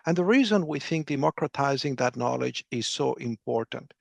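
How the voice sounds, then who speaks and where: Gravelly-voiced man explaining earnestly. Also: medium pitch, expressive, normal speed, no room sound. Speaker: guest author. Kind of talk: explanatory podcast talk.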